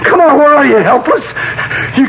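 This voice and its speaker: An actor playing a cocky, bossy killer in a 1970s radio drama, taunting in street slang. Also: male voice, very high voice, lively intonation, moderate pace, creaky, exaggerated voice. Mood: panicked, desperate. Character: cocky, sneering male hoodlum killer on the run. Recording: muffled, noisy old radio recording.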